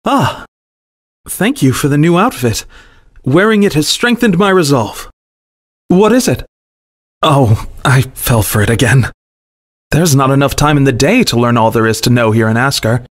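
A man's voice: medium pitch, lively intonation, normal speed, pauses often, no echo, close to an everyday voice. A dutiful young hero from a video game, played by a man. His voice sits mid-range with a polite, earnest delivery. The sound is dry and close. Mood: sincere.

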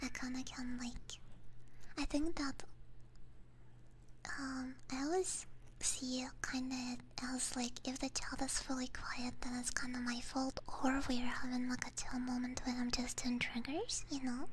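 A woman whispering breathily and gently into a close binaural microphone, chatting casually with her stream viewers. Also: sits high, little pitch movement, a little slow. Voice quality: breathy, mostly unvoiced whisper. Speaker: ASMR live streamer. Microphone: close binaural ASMR microphone. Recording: faint background noise, soft clicks.

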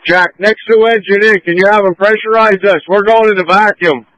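High-pitched man on muffled radio. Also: steady tone, brisk, fairly bright tone, no room sound. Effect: two-way radio. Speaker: firefighter. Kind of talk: fire radio traffic. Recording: dull, lo-fi two-way radio transmission.

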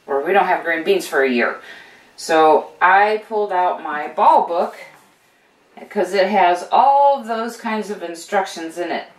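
A woman's voice: on the low side, recorded from a distance. An older woman hosting a casual homesteading how-to, chatting encouragingly as she shows gear, with plenty of fillers and restarts. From United States. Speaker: homesteading channel host. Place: home kitchen with steel counters, indoors. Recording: roomy echo, voice off-mic.

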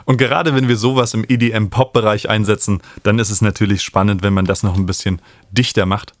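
Low-voiced man explaining software. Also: no echo. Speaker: music production instructor. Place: small home studio, indoors. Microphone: studio microphone up close.

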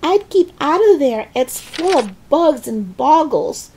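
A woman's voice: high voice, expressive, a little slow, darkish tone, a little hiss or hum, pitched above the performer's own voice. A woman voicing a young boy in a children's storybook, earnest and urgent as he protests and pleads. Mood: warning.

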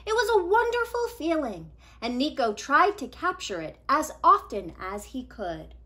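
A woman in her thirties reads a children's story in a high, bright voice. Her pitch moves widely and she leans on key words with deliberate drama. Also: big pitch swings. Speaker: read-aloud storyteller. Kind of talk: expressive children's picture book reading. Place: small living room at home, indoors.

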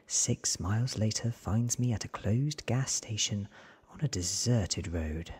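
A man in his thirties reading a story aloud in a hushed, deliberate ASMR whisper, close on the microphone. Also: on the low side. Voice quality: breathy whisper. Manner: hushed, deliberate, short measured phrases. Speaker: narrator reading a novel aloud. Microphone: close studio microphone, seen.

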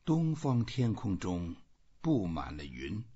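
Male narrator for literary audiobook. Very husky voice, slow delivery, slightly muffled recording. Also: deep voice, big pitch swings, dark tone. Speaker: audiobook reader.

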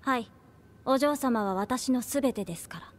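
A woman voicing a formal, literal-minded android maid who speaks in a flat, deadpan way in an anime audio drama. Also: fairly high pitch, normal speed, close to an everyday voice. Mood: devoted.

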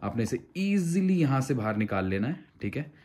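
A man's voice: medium pitch, very animated, moderate pace, a little mellow. A man explains a product step by step in a conversational vlog style, mixing in English phrases. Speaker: motorcycle gear reviewer. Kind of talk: casual hands-on product tutorial.

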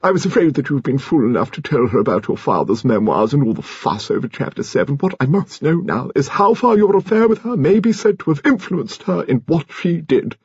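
A man's voice: fairly high pitch, sing-song, fairly quick, few pauses, darkish tone, breathy edge, no echo, highs cut off, voice pushed up, heavily acted. A man voicing a haughty, commanding old aristocratic lady who speaks with firm authority. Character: imperious stout elderly aristocratic lady.

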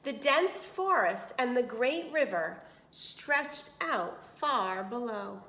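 Higher-pitched woman reading aloud, echoey room. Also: expressive, slow, pushed and bright. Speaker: storyteller reading aloud to children. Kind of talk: picture book read-aloud with asides.

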